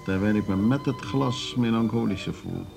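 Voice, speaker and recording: A man's voice: on the low side, moderate pace, gravelly, music in the background. An actor in a radio drama playing a worldly, wistful older man, musing in a condescending way with frequent tag questions.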